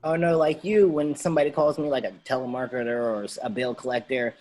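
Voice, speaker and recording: A man's voice: mid-range voice, fairly even intonation, fast talker, darkish tone. A man speaking as a panelist, casual and slangy, animatedly retelling everyday encounters over a video call.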